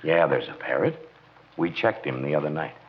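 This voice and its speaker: An actor playing a stolid, well-meaning, awkward police chief in a 1940s radio comedy. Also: male voice, very deep voice, expressive. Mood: matter-of-fact. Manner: halting, awkward, throat-clearing. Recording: muffled, faintly noisy 1940s radio.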